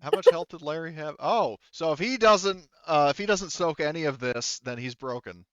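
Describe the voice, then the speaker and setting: A man running a tabletop roleplaying game as game master, narrating and refereeing in a halting, think-aloud way. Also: fairly high pitch, expressive, a bit bright, no echo, muffled recording.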